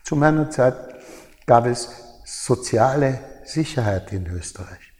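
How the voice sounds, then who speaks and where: A man's voice: medium pitch, animated, a little mellow. Older Austrian man in a reverberant hall. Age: older, over 70. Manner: halting, repeats and reformulates phrases. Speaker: interviewee, former journalist. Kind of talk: reflective interview recollection.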